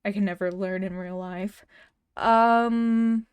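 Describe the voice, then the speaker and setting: A woman's voice: mid-range voice, steady tone, slow, clear, resonant tone, no echo, lo-fi sound. A woman streamer in casual chat with her viewers, stuttering often and restarting words, laughing now and then. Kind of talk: casual livestream chat, reading chat messages and a story aloud.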